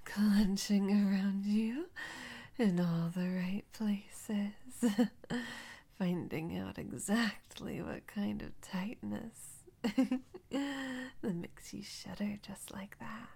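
A woman whispering softly and breathily into a close microphone, soothing and teasing in an intimate audio roleplay. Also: mid-range voice, very slow, creaky, breathy edge.

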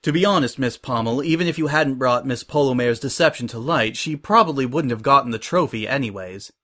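A man voicing a formal, measured female pony boss, stern but kind, in a story reading. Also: mid-range voice, a bit bright, natural voice. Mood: matter-of-fact.

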